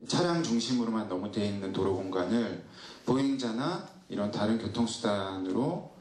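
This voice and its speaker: A man's voice: on the low side, animated, moderate pace, a little mellow. A man presenting a proposal aloud at a forum. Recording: echoey room, distant mic.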